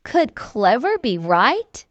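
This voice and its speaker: A woman reading a children's storybook aloud in an expressive, deliberate storytelling manner. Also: fairly high pitch, no echo, lo-fi sound. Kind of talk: scripted read-aloud storybook narration.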